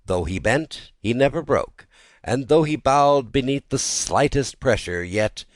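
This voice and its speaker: A man narrating a classic literary tale in a theatrical, expressive storytelling manner. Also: mid-range voice, measured pace, dry recording. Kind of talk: dramatic literary audiobook narration.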